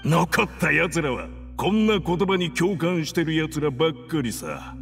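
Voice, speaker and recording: Gravelly, defiant desert warlord. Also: male voice, fairly high pitch, lively intonation, unhurried, fairly bright tone, heavily acted. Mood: grim, proud. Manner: theatrical, declamatory, rough-spoken. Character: defiant male desert fanatic warband leader, grim and proud. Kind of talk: dramatic video game voice acting. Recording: long reverb, music underneath. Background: game music.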